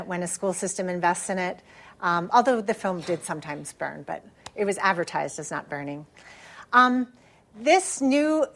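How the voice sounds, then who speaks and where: An American woman speaks as a professor teaching a class. Her voice sits at a middling pitch. She explains in an academic but conversational style, pausing to check in with listeners. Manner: explanatory, with frequent check-in tags like "right?".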